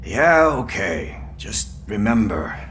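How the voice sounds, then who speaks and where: A man's voice: fairly low pitch, sing-song, slow pace, fairly bright tone, slight background noise, full character voice. An actor playing a wry, easygoing male street informant in a video game, with a playful, theatrical manner. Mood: wry, friendly.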